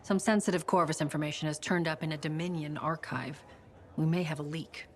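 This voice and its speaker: An actress playing a stern, businesslike intelligence chief in a video game. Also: female voice, deep voice, a little fast, natural voice. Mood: cool. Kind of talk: video game cutscene acting.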